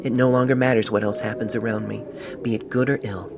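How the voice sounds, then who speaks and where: A man's voice: little pitch movement, fast talker, vocal fry, noisy background. A man voicing a young mare in love as she tells of her feelings in a story letter, tender and wistful. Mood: tender, hopeful. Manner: tender, wistful, lightened pitch. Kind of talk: letter read aloud in story. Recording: homemade phone-mic recording with music.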